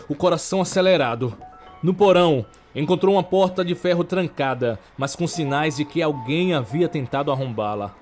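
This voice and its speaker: A man with a Brazilian accent narrating a scripted horror story in a measured, dramatic way. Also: fairly high pitch, a little mellow.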